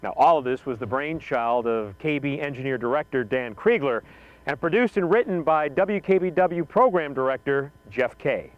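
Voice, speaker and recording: A man's voice: medium pitch, lively intonation, moderate pace, dry recording, lo-fi sound. A middle-aged man hosting and narrating a documentary from a script.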